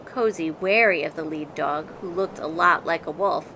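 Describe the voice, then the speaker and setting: A woman reading a children's picture book aloud in an expressive, deliberate storytelling manner. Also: low voice, slight background noise, low-quality recording. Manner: deliberate, dramatic storytelling with acted voices. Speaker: storybook reader.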